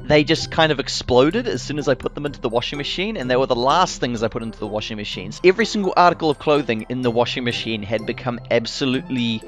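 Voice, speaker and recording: A young man telling casual stories as a gaming host, animated and sweary, acting out his reactions. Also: mid-range voice, fast talker, few pauses. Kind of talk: casual storytelling over gameplay. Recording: music behind the voice. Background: music.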